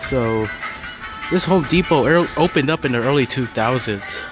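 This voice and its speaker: A man's voice: mid-range voice, moderate pace. A man giving casual, off-the-cuff commentary while filming a walk through a big hardware store. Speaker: vlogger. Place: inside a large hardware store. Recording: store music in the background. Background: store music playing.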